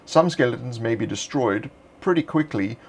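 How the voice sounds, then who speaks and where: A man narrating a script in a measured, deliberate reading voice. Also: mid-range voice, lively intonation, breathy edge. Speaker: narrator of a game supplement.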